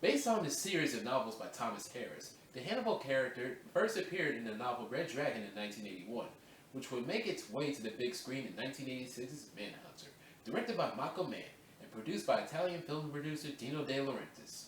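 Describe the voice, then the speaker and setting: A man's voice: mid-range voice, sing-song, fairly quick, slight background noise. A man recording voice-over takes for a video essay. He chats casually between takes and restarts lines to correct his reading. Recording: roomy echo, distant mic, faint ambience.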